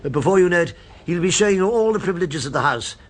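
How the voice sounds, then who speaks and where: A friendly, teasing regular in an old radio play. His voice sits a bit high and moves around a lot. He speaks in a jovial, ribbing way. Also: lively intonation, fast talker. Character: genial male friend, longtime restaurant regular. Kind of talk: radio drama acting.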